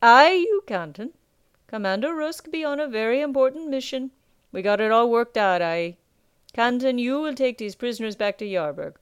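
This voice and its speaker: A woman voicing a gruff male sea captain who talks in rough sailor speech and keeps tagging his lines with "aye.". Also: on the high side, lively intonation, moderate pace, dry recording, studio quality, pitched above the performer's own voice, lightly acted. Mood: commanding.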